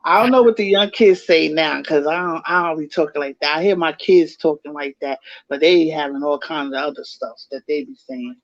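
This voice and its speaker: A woman's voice: deep voice, a little fast, few pauses, lo-fi sound. A middle-aged woman with a Bronx New York accent, co-hosting a casual live chat, playful and insistent, laughing often. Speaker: co-host of a live chat show.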